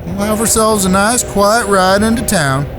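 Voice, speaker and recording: A man's voice: high voice, normal speed, noisy background, close to an everyday voice. A man playing a folksy, cocky Western gunman in an audio drama, mock-polite, with an American Southern drawl. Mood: folksy warning. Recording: music bed behind the voice.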